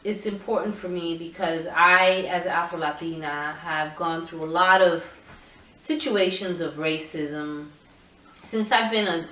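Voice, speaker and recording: A middle-aged woman from Puerto Rico, a photographer answering interview questions in a thoughtful, halting way with many restarts. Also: fairly low pitch, fairly bright tone. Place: indoor room with her camera collection. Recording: roomy sound, mic at a distance.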